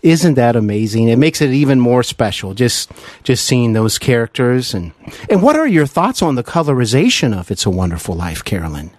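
A man's voice: medium pitch, big pitch swings, moderate pace, a little mellow, no echo, clean studio sound. A man hosting an interview in an enthusiastic, chatty, admiring way. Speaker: interview host.

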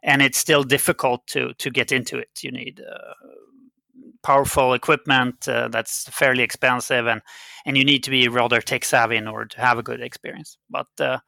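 A middle-aged man with a Swedish accent, giving conversational interview answers. His voice is bright and creaky, recorded dry, and he proceeds haltingly, often repeating words. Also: medium pitch, level tone, no echo. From Sweden. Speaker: interview guest, game company CEO.

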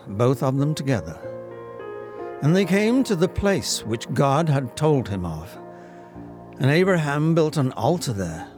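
A man in his seventies with an English accent acting a solemn, stately narrator of Old Testament stories in a dramatic reading. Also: a little high. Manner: grave, theatrical, drawn-out.

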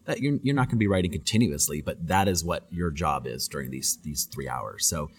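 A man's voice: very deep voice, expressive, brisk, slightly rough. A young adult man, a guest on a podcast, answering questions thoughtfully and often repeating words as he thinks. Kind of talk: casual interview answers.

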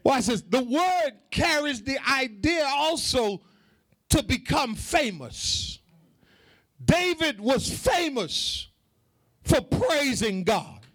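A man preaching a fervent sermon to a congregation, emphatic and repetitive, calling for response and rising into shouted, half-sung phrases. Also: very high-pitched, sing-song, slow pace, no echo.

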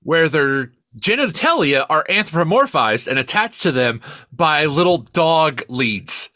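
A man with a fairly high, very bright voice chats casually as a podcast co-host. He is enthusiastic and swears freely, and the recording is close and dry. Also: expressive, a little slow, no echo. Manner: profane, enthusiastic. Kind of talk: casual chat about media.

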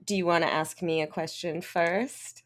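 This woman in her thirties speaks with an English Canadian accent in a slightly low register. She hosts a loose podcast conversation, laughing easily and stumbling through little fillers and restarts. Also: steady tone, moderate pace. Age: 30s. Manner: giggly, chatty, with frequent fillers and restarts. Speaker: podcast host. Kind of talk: casual chat.

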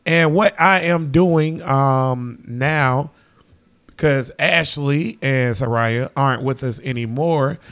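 A man, hosting a podcast and teasing his guests with loud laughter. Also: mid-range voice. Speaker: podcast host. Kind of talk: casual podcast banter.

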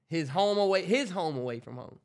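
Tired podcast guy, laid-back, joking around with his co-host, voice sits a bit high. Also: expressive, a little fast. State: tired from the late hour. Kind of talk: late-night casual banter.

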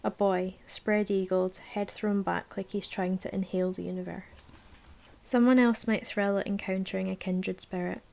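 A woman narrating a novel aloud in a measured, sometimes hushed voice. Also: mid-range voice, fairly even intonation, gravelly. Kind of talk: audiobook narration, present tense.

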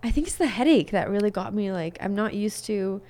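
Female co-host, 30s, mid-pitched, playful banter with quick interjections. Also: animated, a little mellow. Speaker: podcast co-host. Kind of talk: casual chat.

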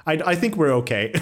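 A man hosting a podcast interview in a casual, enthusiastic chat style, with an eager, gushing manner. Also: high-pitched. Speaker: podcast host interviewing a composer.

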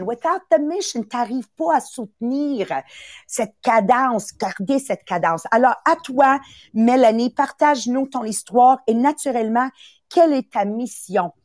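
A woman's voice: a little high, no echo, studio quality. A woman hosting a motivational podcast, speaking in an emphatic coaching manner as she introduces her guest.

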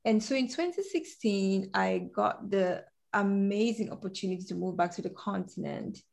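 A woman from Cameroon in her forties tells her personal and professional story as an interview guest. She is animated and laughs easily, and her voice sits at a middle pitch. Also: moderate pace, vocal fry. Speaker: guest, compliance director. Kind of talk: conversational interview.

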